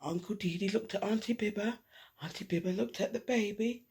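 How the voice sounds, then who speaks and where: A woman reading a children's picture book aloud expressively, dramatic, with hushed asides and emphasis on repeated words. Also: mid-range voice, a little fast, slightly rough. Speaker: storyteller.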